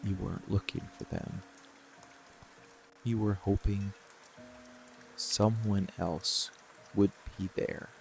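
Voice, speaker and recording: A man voicing a dark, seductive, protective monster in an intimate roleplay ASMR style, gravelly and deliberate, with long pauses. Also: fairly low pitch. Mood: intimate, brooding. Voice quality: gravelly, creaky. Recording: rain and music behind the voice. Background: steady rain and music.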